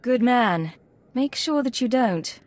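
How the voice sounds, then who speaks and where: A woman's voice: on the high side, expressive, slow pace, a little mellow. An actress playing a composed, direct female Imperial secret agent in a video game. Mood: cool, composed.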